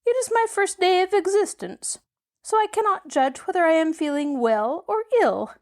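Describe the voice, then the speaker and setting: A woman voicing a squeaky, fretful flying gump creature in an audiobook. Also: lively intonation, normal speed, darkish tone. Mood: plaintive. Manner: high and plaintive. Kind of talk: audiobook character voice.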